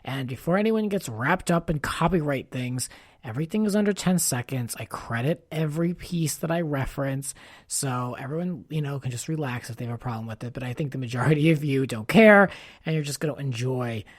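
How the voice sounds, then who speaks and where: A man's voice: medium pitch, lively intonation, brisk, no room sound, studio quality. A man hosting a podcast in a casual, informal and encouraging way. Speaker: podcast host.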